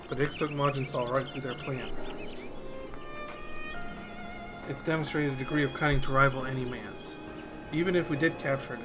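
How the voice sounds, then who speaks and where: Blunt, plain-spoken game monster hunter, read by a man, higher-pitched, folksy and firm, music clearly behind. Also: natural voice. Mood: stern, resolute. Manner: colloquial, clipped, firm. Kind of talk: game dialogue read aloud.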